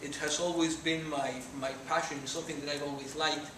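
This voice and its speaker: A man's voice: on the high side, flat delivery, a little fast. Mexican-accented man in an echoey lecture room. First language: Spanish. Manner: earnest, gesturing while speaking. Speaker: guest speaker. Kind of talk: motivational talk to students. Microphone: far camera. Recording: echoey room from far off, noisy.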